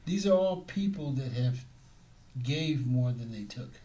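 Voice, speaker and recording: A man's voice: medium pitch, expressive, unhurried, dark tone. A man who trains security guards, speaking in a casual interview and repeating his points for emphasis.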